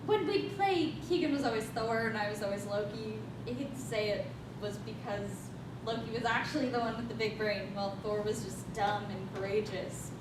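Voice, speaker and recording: A woman's voice: normal speed, close to an everyday voice. A woman acting in a staged reading as a guilt-ridden, defensive young woman on a space mission, speaking in an emphatic, confessional way. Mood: reminiscing. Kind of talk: staged reading, dramatic monologue. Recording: echoey room, distant mic, rumbling noise.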